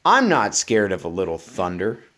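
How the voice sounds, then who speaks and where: A man's voice: low voice, wildly swooping pitch, normal speed, a bit bright, voice pushed down, heavily acted. A man voicing a cold, wet farm pig in a bedtime storybook, matter-of-fact. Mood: plaintive, stubborn.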